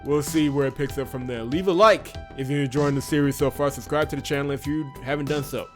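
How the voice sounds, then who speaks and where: Expressive male let's play reader over music. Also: on the high side, fast talker. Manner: expressive reader who breaks off to correct himself. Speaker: host of a visual novel let's play. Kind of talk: reading game story aloud with casual asides.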